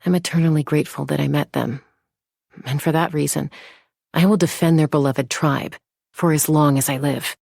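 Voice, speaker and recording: A woman voicing a confident young female gunslinger and peacemaker in a video game, with a cool, measured, self-assured delivery. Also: low voice, fairly even intonation, creaky, a little mellow, no room sound, clean studio sound. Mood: earnest. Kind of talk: scripted game character voice lines.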